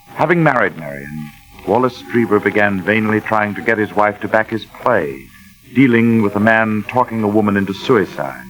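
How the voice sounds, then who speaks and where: An actor serving as narrator of a 1940s radio suspense drama, formal, measured and ominous, on a vintage recording. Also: male voice, fairly low pitch, natural voice, rough recording. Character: grave male narrator of a suspense story.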